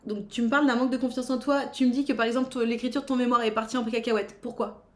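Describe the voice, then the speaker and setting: A woman's voice: a little high, level tone, very fast. A woman hosting a live stream in a blunt, casual way, swearing now and then.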